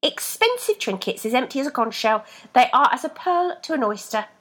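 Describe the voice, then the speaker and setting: A woman voicing a pair of talking feet, sarcastic brothers who scold loudly and sound lofty. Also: fairly high pitch, very animated, a little fast, voice pushed up.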